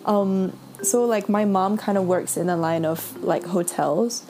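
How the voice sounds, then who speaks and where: A woman's voice: medium pitch, a little slow. A young woman who grew up between Singapore and China, co-hosting a casual podcast, giggly and telling stories with fillers. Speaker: podcast co-host. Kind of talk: casual chat. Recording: faint laptop fan noise.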